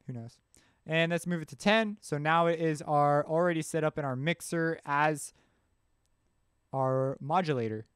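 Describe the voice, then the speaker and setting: Clear, higher-voiced man giving casual tutorial. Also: moderate pace, a bit bright, no room sound, studio quality. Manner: informal, offhand. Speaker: tutorial presenter. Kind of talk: casual software tutorial, thinking aloud. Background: synth music and instrument sounds.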